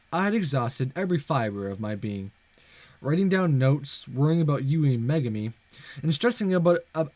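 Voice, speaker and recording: Animated, lighter-voiced man doing a let's play. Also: on the high side, no echo. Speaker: gaming video host. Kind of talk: visual novel let's play, reading aloud with casual commentary.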